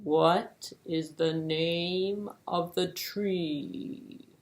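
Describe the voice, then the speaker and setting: A woman voicing a patient, determined tortoise in a puppet-show tale, drawing out words slowly and deliberately. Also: lowish voice, steady tone. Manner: slow drawn-out deliberate speech. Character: slow, patient, determined tortoise, unhurried and persistent.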